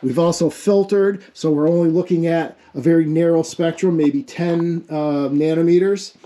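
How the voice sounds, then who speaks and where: A man's voice: on the high side, steady tone, normal speed, mellow. A man giving an informal hands-on technical explanation as a hobbyist, thinking aloud in a casual, self-deprecating way. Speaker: amateur radio experimenter demonstrating a homemade setup.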